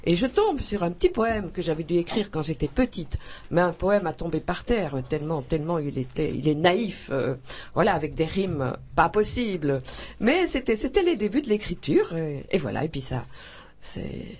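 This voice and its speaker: A husky-voiced woman from Belgium describes her writing in a radio interview. She speaks with enthusiasm but stops and restarts often, and laughs easily. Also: on the low side, very animated, normal speed. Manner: warm, effusive, hesitant with many restarts, laughs often. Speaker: author.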